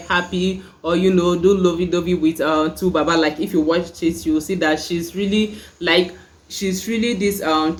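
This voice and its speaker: A young Nigerian woman with a Nigerian accent, chatting casually in a review vlog, full of "like" and "you know" fillers. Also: lowish voice. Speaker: YouTube reviewer.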